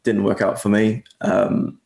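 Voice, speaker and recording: Young male guest, mixed English-Aussie accent, low and gravelly, rambling casual delivery, echoey call audio. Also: monotone, measured pace, a little mellow. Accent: mixed English and Australian. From Australia. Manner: rambling, self-correcting, lots of fillers. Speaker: guest agency owner. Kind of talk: casual interview chat.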